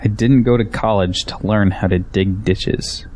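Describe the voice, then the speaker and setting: A man's voice: on the low side, fairly even intonation, a little slow, a little mellow, lightly acted. A man playing a defensive, ambitious young businessman in an audio drama, arguing skeptically and proudly. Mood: skeptical, resistant. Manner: defensive, argumentative.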